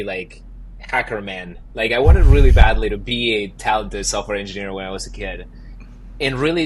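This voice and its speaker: A man with an Argentinian accent, a startup founder answering podcast interview questions in animated, emphatic bursts. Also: medium pitch, a little hiss or hum, low-quality recording.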